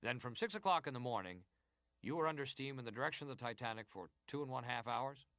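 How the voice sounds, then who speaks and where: A man acting as a senator at a historical inquiry, speaking in a middle-pitched voice with a dry, close sound. His questioning is formal, deliberate and probing, as in a dramatized hearing. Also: animated, a bit bright, no echo, close to an everyday voice. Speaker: actor reading hearing testimony.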